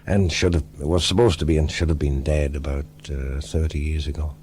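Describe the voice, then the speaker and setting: A man in an old radio interview speaking slowly and earnestly, with pauses. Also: rumbling low, steady tone, a little mellow. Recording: dull old interview tape with hum.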